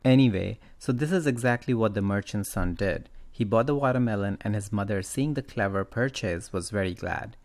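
A man hosting a podcast, telling a story and discussing it casually, with a gravelly creak in his voice. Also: on the low side, fairly quick, few pauses, a little mellow. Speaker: podcast host.

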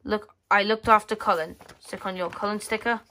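Woman casually reading aloud, halting delivery. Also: mid-range voice, steady tone, sharp tone. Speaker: magazine reviewer. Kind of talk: casual read-aloud walkthrough of a children's magazine. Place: indoors at a tabletop.

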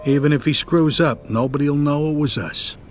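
An actor playing a middle-aged mob boss in a video game, casual and businesslike. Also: male voice, fairly high pitch, normal speed, close to an everyday voice. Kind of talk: video game cutscene acting. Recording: music under the dialogue.

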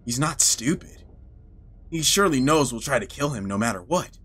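A man reading a grim male cannibal killer's lines in a lowered, grave voice. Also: animated, moderate pace, a bit bright, breathy edge. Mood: cold. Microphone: headset.

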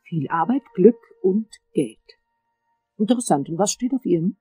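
An actress playing a composed, seductive dentist in a radio play, calm, soft and breathy. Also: female voice, fairly low pitch, moderate pace, no room sound, faint music behind. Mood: playful. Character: composed, seductive female dentist fascinated by teeth.

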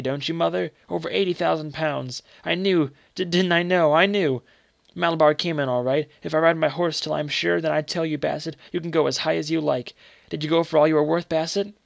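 A man's voice: a little high, vocal fry, darkish tone, no echo, pitched above the performer's own voice. Feverish, obsessed young boy, raised and insistent, close and dry; a male reader doing him.

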